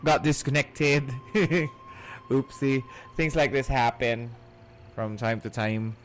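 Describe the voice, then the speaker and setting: Easygoing man streaming, medium-pitched. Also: slow pace, slight background noise. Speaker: game streamer. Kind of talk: casual livestream chat.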